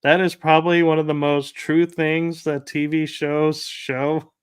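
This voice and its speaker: A man's voice: a little high, little pitch movement, unhurried, smooth. A man hosting a casual interview, telling rambling anecdotes with light laughs. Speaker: podcast host.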